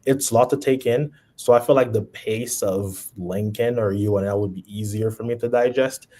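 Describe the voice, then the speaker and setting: Guest: relaxed Haitian man in his late 20s, husky low voice. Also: normal speed. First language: Haitian Creole. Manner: relaxed, with frequent ums. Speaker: podcast guest. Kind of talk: casual chat.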